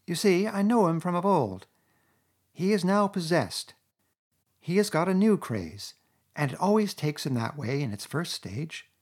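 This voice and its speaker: Man doing a bossy water rat, higher and louder than his own voice, firm and fed up, husky. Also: sing-song. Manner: firm, brisk, exasperated. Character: stern, sensible male water rat.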